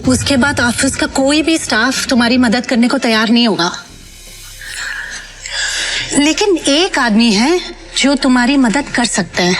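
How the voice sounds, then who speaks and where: A woman's voice: sits high, expressive, unhurried, long pauses. A woman playing a firm, protective and sympathetic office staffer in an audio drama, speaking assertively and plainly. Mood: confiding, practical. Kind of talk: Hindi audio drama acting.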